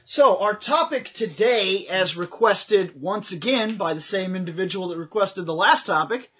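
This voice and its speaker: A man's voice: sits high, animated, moderate pace, pushed and bright, husky. A man hosting a casual chat show in a laid-back way, steering the talk. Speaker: podcast host. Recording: dull lo-fi recording.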